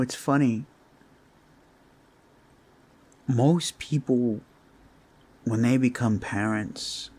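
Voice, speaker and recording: A man voicing a devoted, worried orc father in a roleplay audio drama, speaking haltingly and earnestly. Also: mid-range voice, creaky, breathy edge, full character voice. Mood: wry, reflective. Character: Omar, anxious, devoted orc father, grieving for kids.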